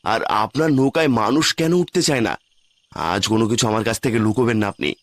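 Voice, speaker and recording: A man's voice: lowish voice, brisk. A man narrating a scripted ghost story in the first person, in a dramatic style, with reverb on the recording. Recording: long hall-like reverb.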